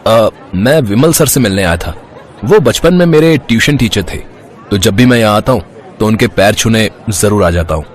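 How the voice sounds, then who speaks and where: A man playing a polite, well-mannered rich young man in a cartoon story, friendly and a little hesitant, with a husky voice. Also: fairly low pitch, expressive, moderate pace, some chatter behind, lightly acted. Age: young adult.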